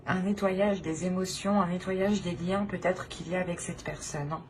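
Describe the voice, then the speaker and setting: Lower-voiced woman reading tarot, noisy background. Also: fairly even intonation, normal speed. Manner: hesitant, searching, repeats and rephrases phrases. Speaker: tarot reader, channel host. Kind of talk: unscripted tarot card reading to viewers.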